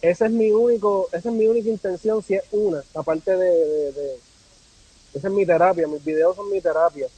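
A man's voice: high-pitched, brisk, dark tone, husky, dry recording, poor audio. A man from Puerto Rico with a Puerto Rican accent, talking casually and reflectively as a podcast guest, repeating phrases for emphasis. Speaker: podcast guest, travel content creator. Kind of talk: casual conversational interview.

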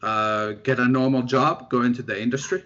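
A young man from Finland, a researcher, chatting in an interview in a relaxed, self-deprecating way with lots of fillers. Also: medium pitch, fairly quick. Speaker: guest researcher. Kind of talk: casual interview chat. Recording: dull lo-fi sound.

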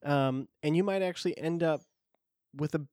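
Man host, slightly high voice, dry close mic, chatty and halting. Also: darkish tone, clear, resonant tone, no echo. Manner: friendly, halting with fillers and restarts. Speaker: podcast host. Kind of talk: casual podcast chat.

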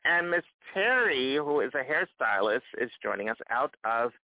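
A man's voice: medium pitch, very animated, normal speed, no echo. A man hosting a live radio morning show, chatty and repeating words as he goes. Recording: thin phone-like dry sound.

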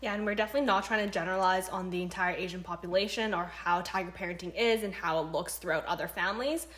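A woman's voice: lowish voice, quick pace, fairly bright tone. A young woman with a Canadian accent co-hosting a casual podcast in a playful, self-deprecating manner. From Canada, born and raised in Vancouver. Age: young adult. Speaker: podcast co-host.

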